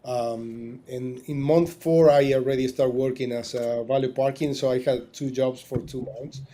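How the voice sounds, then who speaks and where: A man's voice: medium pitch, fairly even intonation, moderate pace, mellow. A man, a guest telling his life story with many fillers and restarts. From Argentina. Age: 40s. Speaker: podcast guest. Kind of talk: casual interview talk.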